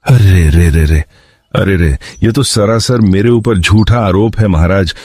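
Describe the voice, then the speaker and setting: A man's voice: low voice, unhurried, a little mellow, dry recording, soft background music. A man voicing a crafty landlord in a cartoon story, wheedling and protesting his innocence. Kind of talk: Hindi cartoon moral-story voice acting.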